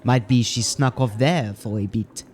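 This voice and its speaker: A man's voice: mid-range voice, a little slow, a little mellow, slightly rough, soft background music. A man playing a plain-spoken, deferential gatekeeper of a medieval town in an audio drama, musing in folksy turns of phrase. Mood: musing, casual.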